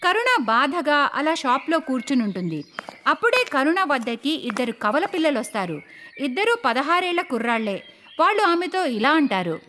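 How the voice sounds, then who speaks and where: Female story narrator for cartoons: high-pitched, very expressive melody, scripted delivery, faint background noise. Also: a little fast.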